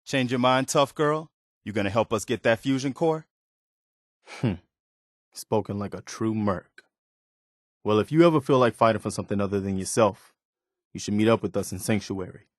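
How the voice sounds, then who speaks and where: A male actor plays a weary, idealistic leader of a wasteland militia in a video game. His voice sits a little low and he speaks with measured, earnest sincerity. The recording is very dry, with no room around the words. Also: dry recording, close to an everyday voice. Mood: challenging. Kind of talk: video game character dialogue.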